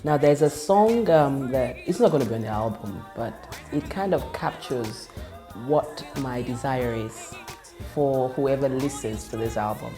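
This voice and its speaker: A woman whose first language is Yoruba speaks in a low, warm voice. She tells her story in an earnest, reflective way, pausing and restarting as she goes. Soft music plays faintly behind her. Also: animated. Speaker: gospel singer. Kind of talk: personal testimony monologue.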